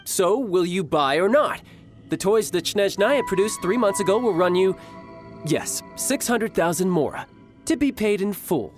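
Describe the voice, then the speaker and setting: Cocky young game warrior, smooth talker, very animated pitch, kinda smug and theatrical. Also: male voice, measured pace, faint music behind. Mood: firm, insistent. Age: young adult. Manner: smooth-talking, smug, formal wording. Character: cocky, theatrical young male warrior posing as toy salesman. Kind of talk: video game voice acting.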